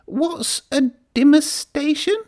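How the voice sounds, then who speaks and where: A man voicing a small, timid, peevish young tank engine in a storybook with a squeaky peep. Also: takes it slowly, a little mellow. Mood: puzzled, quiet. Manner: squeaky, peeping.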